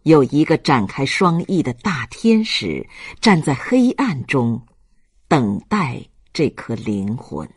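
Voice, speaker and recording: A man reading a literary audiobook as narrator in a solemn, measured and expressive manner. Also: mid-range voice, breathy edge.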